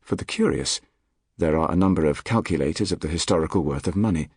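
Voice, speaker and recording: A man reading a nonfiction audiobook in a measured, articulate, formal manner. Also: a bass voice, a little mellow, husky, no room sound, studio quality. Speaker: audiobook narrator. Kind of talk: scripted nonfiction audiobook narration. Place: dry recording studio.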